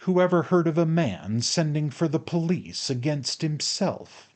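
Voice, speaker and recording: A man voicing a self-confident, insolent manservant in an audiobook, speaking with deliberate, severe and stubborn calm. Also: fairly high pitch, pitched above the performer's own voice. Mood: calm, dismissive. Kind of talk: audiobook character acting.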